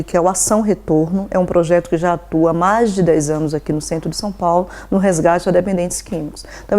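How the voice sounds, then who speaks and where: A woman's voice: fairly low pitch, warm tone. A woman from Bahia, an NGO president answering interview questions in a devout, earnest way and often adding "né?". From Bahia, Brazil. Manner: devout, earnest, frequent "né?" tags.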